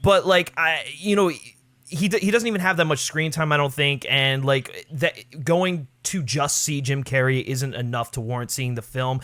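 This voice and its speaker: A man's voice: a little high, moderate pace, fairly bright tone, no room sound. A man chatting casually about films as a podcast guest, emphatic and opinionated, peppering his talk with filler words. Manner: emphatic, peppered with "like" and "you know". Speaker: podcast guest, film commentator. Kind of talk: casual opinionated film chat.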